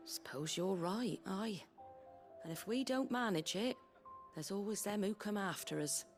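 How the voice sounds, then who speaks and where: A woman's voice: mid-range voice, unhurried, lightly acted. Actress as a wry, earthy British innkeeper woman. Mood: reflective, warm. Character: plain-spoken innkeeper woman, brisk, wry, tough but kindly. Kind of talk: video game character dialogue. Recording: game music behind the voice.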